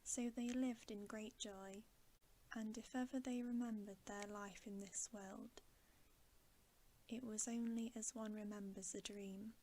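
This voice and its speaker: A woman reading a bedtime story for ASMR in a soft, gentle, breathy voice that often drops to a whisper. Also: medium pitch, fairly even intonation, a little hiss or hum, rough recording. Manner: hushed, unhurried, gentle. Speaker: ASMR reader.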